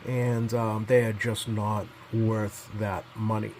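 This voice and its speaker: A man giving casual, unscripted commentary as a repair technician, pausing often with many ums as he goes. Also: fairly low pitch, little pitch movement, a little mellow, a little hiss or hum. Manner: halting, hesitant delivery heavy with ums and pauses. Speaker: repair technician presenting his bench work.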